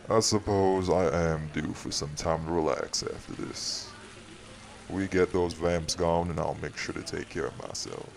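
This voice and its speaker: A male actor voices a courtly old-world vampire host for an audio drama. His voice is extremely deep and full of gravel. He is weary, protective and firm. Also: steady tone, measured pace. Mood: gentle, flirtatious. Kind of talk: audio roleplay drama acting.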